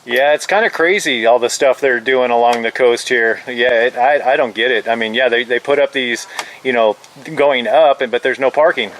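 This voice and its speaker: A man's voice: medium pitch, moderate pace. Man grumbling over noisy radio. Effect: two-way radio. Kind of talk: casual chat over two-way radio. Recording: clearly noisy two-way radio signal.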